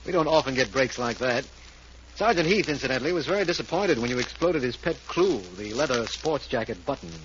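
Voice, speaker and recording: An actor playing an earnest, businesslike, cautious district attorney in a 1940s radio mystery drama. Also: male voice, mid-range voice, expressive, fairly quick, a bit bright, natural voice. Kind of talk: 1940s radio drama acting and scripted narration. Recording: noisy, muffled old radio recording.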